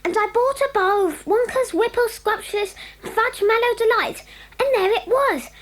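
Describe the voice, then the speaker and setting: Very high-voiced, eager young boy. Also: normal speed. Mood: excited, breathless. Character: earnest, polite, excited young schoolboy. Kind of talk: acted audio dramatisation for children.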